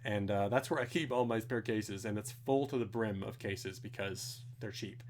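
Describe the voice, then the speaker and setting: A man's voice: lowish voice, moderate pace, slight background noise. A young man, rambling casually through technical viewer questions at home, swearing now and then. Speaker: streamer answering viewer questions. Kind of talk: casual live Q&A. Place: home, indoors.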